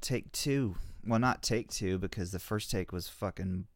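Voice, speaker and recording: Low-voiced man hosting a casual podcast. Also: a little slow, no room sound. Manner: laid-back, rambling, swearing freely. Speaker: podcast host.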